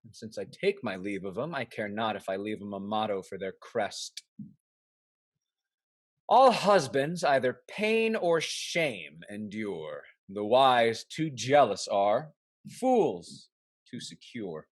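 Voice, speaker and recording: An actor playing a bitter, cuckolded husband in a Restoration comedy, scheming and sardonic, with florid, declamatory delivery. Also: male voice, lowish voice, sing-song, slow, dry recording, heavily acted. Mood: cynical, smug. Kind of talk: theatrical Restoration comedy acting.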